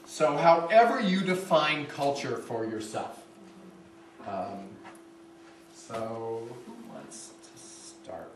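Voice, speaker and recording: A man's voice: mid-range voice, slow, noisy background. A man leading a class in introductions, laid-back and chuckling as he talks. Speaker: course instructor. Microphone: room recorder at a distance. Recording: echoey classroom from far off, music.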